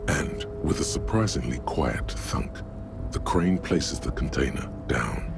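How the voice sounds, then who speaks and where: Very deep, gravelly game narrator. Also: male voice, animated, unhurried, loud music behind the voice, exaggerated voice. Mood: solemn, measured. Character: brooding omniscient male narrator of a detective game. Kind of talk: scripted second-person game narration.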